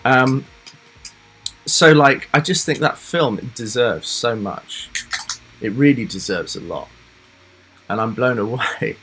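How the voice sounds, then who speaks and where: A man hosting a casual live stream, chatting loosely to his viewers with lots of fillers, rambling asides and soft laughs. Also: mid-range voice, slow, long pauses, soft background music. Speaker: reaction livestream host.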